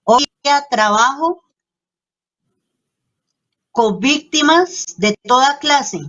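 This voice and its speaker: Woman, fairly high voice, very slow pace, earnest testimony, simple broken phrasing. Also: a bit bright, dull audio. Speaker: guest giving testimony, human rights worker. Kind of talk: personal testimony.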